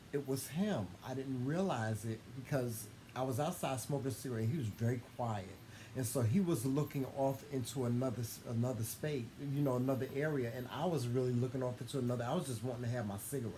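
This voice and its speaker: A man of middle pitch chats through a personal story. He is openly affectionate and gushing, often repeating and restarting a phrase for emphasis. Faint noisy ambience sits behind his voice. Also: a little fast, mellow. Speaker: co-host telling a couple's story. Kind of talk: casual conversational storytelling. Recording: clearly noisy room ambience.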